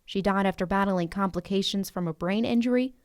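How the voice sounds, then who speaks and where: A woman's voice: mid-range voice, brisk, no echo, clean studio sound. An American woman reporter reading a scripted narration for a news story.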